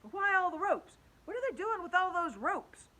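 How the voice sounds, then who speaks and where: A brash, sharp-tongued centipede from a storybook speaks loudly and briskly, as a woman reads it aloud. The voice is bright and forceful. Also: very high voice, sing-song, pitched above the performer's own voice, exaggerated voice.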